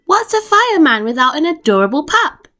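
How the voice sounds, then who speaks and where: A woman's voice: high-pitched, big pitch swings, fairly quick, sharp tone, soft background music, voice pushed up, full character voice. A woman voicing a cheerful, affectionate and playful mother from a children's storybook. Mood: doting, playful.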